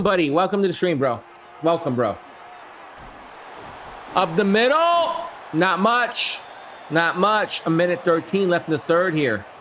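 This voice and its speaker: A man with a somewhat high voice, hoarse and worn down by the flu, calls a video game football simulation play by play for his live stream. He shouts when a big play hits and drifts into easy chat with his viewers between snaps. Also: slight background noise.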